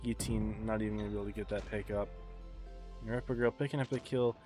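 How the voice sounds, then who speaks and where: A man casting an esports match on a live stream, congested and tired, calling the play in a relaxed, subdued way. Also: fairly low pitch, fast, faint music behind. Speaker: esports caster.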